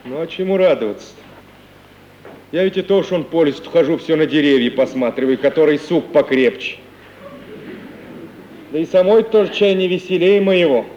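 An actor playing an anxious, lovesick young merchant's son with folksy, plaintive, grumbling speech in an old radio play. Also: male voice, fairly high pitch, lively intonation, normal speed, long pauses, a little mellow, lightly acted. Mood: gloomy. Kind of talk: 1950 Russian radio play acting. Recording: muffled, hissy 1950s radio recording.

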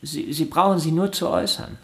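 An actor playing a famous violinist in a radio drama, eager to please and prone to stammering. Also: male voice, fairly high pitch, fairly even intonation, a little fast, natural voice. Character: famed male violinist, eager, deferential host.

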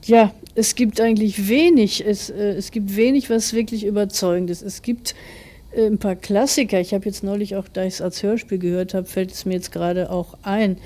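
A forty-year-old woman speaks as an author being interviewed. Her voice is dark and relaxed at an average pitch. She explains her thinking carefully and reflectively. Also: moderate pace. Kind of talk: thoughtful interview answers.

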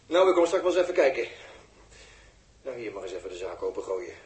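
An actor playing a sarcastic, impatient spaceship technician in a 1960s radio drama, explaining things in a condescending, halting way. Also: male voice, lowish voice, sing-song, darkish tone, echoey room. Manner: sardonic, condescending, halting while busy.

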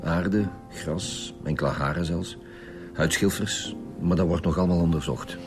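An actor with a Flemish accent playing a brusque, commanding homicide inspector in a radio drama. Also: male voice, low voice, moderate pace, over music. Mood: matter-of-fact.

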